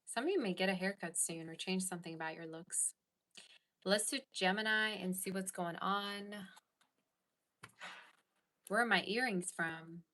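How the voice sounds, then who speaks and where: A woman's voice: medium pitch, unhurried, pauses often, bright, forceful tone. A woman giving a casual tarot reading to her viewers, chatting and answering comments as she goes. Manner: chatty, reads live chat aloud. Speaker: tarot reader.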